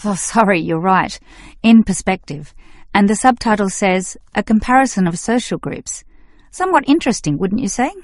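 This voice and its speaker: Female student played by a woman, young, agreeable yet opinionated, measured scripted delivery, dry studio acoustic. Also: medium pitch, lively intonation, no room sound, natural voice. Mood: agreeable, reasoning. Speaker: student in a listening test dialogue. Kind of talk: scripted exam dialogue acting.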